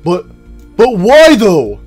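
A man voicing a dim, nosy, childlike animatronic bear in a comedy cartoon, questioning everything in a slow-witted way. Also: high-pitched, huge pitch swings, a little mellow, soft background music, heavily acted. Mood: nosy, puzzled. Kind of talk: amateur comedy cartoon dialogue.

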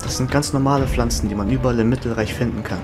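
A woman acts a matter-of-fact adventuress in a fantasy radio play. Her voice is extremely low, and she reasons in a dry, skeptical way. Music is clearly audible behind her. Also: fast talker.

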